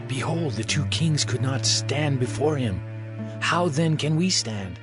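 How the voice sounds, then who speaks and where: An actor playing a fearful, daunted city elder in a dramatized Bible production. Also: male voice, mid-range voice, animated, unhurried, lightly acted. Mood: afraid, despairing. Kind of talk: dramatized Bible audio acting. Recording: loud background music over voice.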